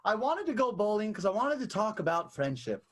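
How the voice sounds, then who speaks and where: A man's voice: sits high, sing-song, brisk. An actor, a man, playing an earnest, encouraging friend in an improvised radio comedy, rambling reassuringly. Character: earnest, encouraging bowler, gently supportive friend.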